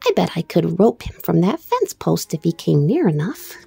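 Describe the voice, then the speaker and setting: A woman's voice: fairly low pitch, sing-song, normal speed, creaky, mellow, husky, no echo, pitched below the performer's own voice, full character voice. A woman voicing an eager, boastful young boy teddy bear in a storybook, exclaiming with childlike bravado. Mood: eager, cocky. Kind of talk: storybook character voice.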